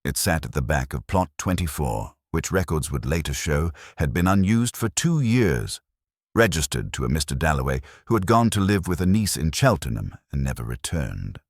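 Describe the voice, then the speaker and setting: A man with a very deep voice reads a mystery story as narrator. His delivery is measured and wry, with lively intonation, in a dry, close recording. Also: no echo.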